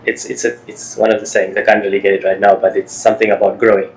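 A middle-aged man hosts an interview on a video call, his voice fairly low. He stumbles through questions with restarts and encouraging remarks, with a faint hiss behind him. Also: steady tone, vocal fry, low-quality recording. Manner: halting, restarts questions, supportive. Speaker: podcast host. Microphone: over-ear headphones, video call.

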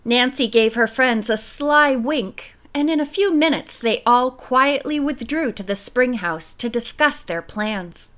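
A woman narrating a vintage girls' mystery novel in a steady, measured storybook reading. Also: on the high side. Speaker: audiobook narrator.

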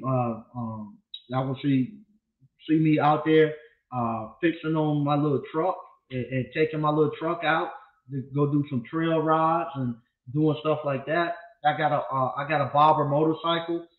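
A man in his fifties hosting a casual livestream from a small home studio, talking bluntly in a loose, rambling way with lots of restarts. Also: fairly high pitch. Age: 50s. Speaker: appliance repair instructor and channel host. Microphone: desk mic on a boom arm. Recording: echoey room, tinny phone-like sound.

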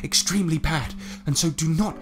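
A man's voice: on the high side, brisk, voice pushed up, natural voice. A man voicing a secretive female conspirator in a tabletop game, hesitant, earnest and insistent. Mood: urgent warning. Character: secretive, urgent female conspirator pleading for trust.

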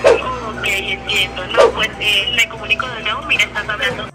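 A woman's voice: medium pitch, fairly even intonation, moderate pace. A polite, hesitant woman making a phone sales call, heard through a phone speaker. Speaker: telemarketer for a phone company. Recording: phone on speaker, loud music behind.

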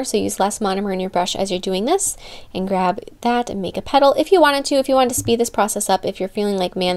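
Female tutorial host, medium pitch, informal and chatty step-by-step voice-over, voice a touch strained from losing it. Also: a little mellow. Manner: chatty, informal, self-correcting. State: slightly losing her voice. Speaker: nail art tutorial host. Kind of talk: casual how-to voice-over narration.

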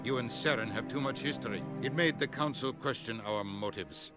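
A man's voice: medium pitch, moderate pace, over music, close to an everyday voice. A man playing an older human ambassador, brusque and authoritative, with a curt delivery. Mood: curt, displeased.

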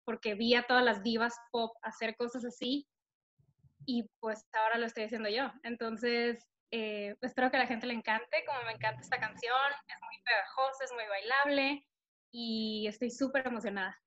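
A woman's voice: fairly high pitch, steady tone, normal speed, fairly bright tone, muffled recording. Upbeat young woman, northern Mexican accent. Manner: upbeat, chatty, many fillers. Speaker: singer-songwriter guest. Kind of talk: casual interview over video call. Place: bright room at home. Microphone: laptop camera on video call.

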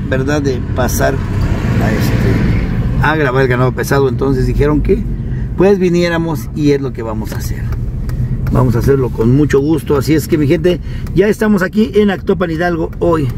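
A man's voice: medium pitch, lively intonation, normal speed. A man with a Mexican accent and a slightly congested, nasal voice, chatting enthusiastically to his viewers as a travel vlogger. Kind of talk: casual travel vlog commentary. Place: moving car on highway, some outdoors. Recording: heavy car and road noise, distant mic.

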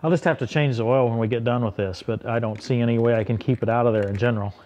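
A man's voice: medium pitch, steady tone, quick pace, dark tone. Middle-aged man thinking aloud in a workshop. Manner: thinking aloud, hesitant restarts. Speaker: tractor repair channel host. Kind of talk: casual workshop explanation to camera. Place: workshop beside a tractor, indoors.

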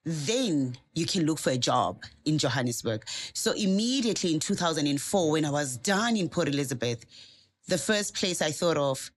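South african woman, early forties, lowish voice, assured podcast guest, desk mic in a small studio. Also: lively intonation, moderate pace. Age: early 40s. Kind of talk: podcast interview, first-person life story. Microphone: desk mic on stand.

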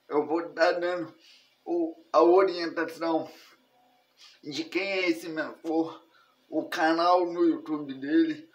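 A Brazilian man in his thirties teaching an online lesson to camera, speaking haltingly in short phrases. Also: a little high, poor audio. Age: 30s. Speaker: personal finance instructor. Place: indoors in a furnished room at home.

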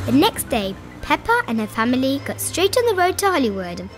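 A woman narrating a children's story in an expressive, scripted read-aloud style. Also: high-pitched, a little fast, faint music behind.

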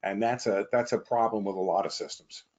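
A man's voice: fairly low pitch, a little fast, darkish tone. A man speaking as a company guest, informally explaining technical matters on a webinar over a video call.

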